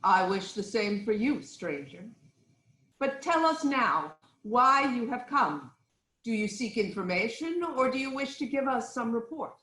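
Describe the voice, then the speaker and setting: An actress playing a regal queen, an anxious but firmly reassuring wife, in a formal, deliberate reading of Greek tragedy. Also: a little high, lively intonation, pushed and bright, reverberant, low-quality recording. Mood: courteous, curious.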